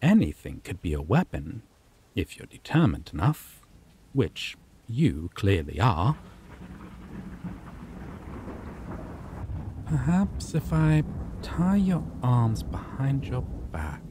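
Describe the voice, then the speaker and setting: A man voicing a possessive, obsessive male vampire in an ASMR roleplay, speaking softly and menacingly and slipping into whispers. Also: mid-range voice, background noise. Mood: calm menace. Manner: slow, soft-spoken, menacing, drifts into whispers.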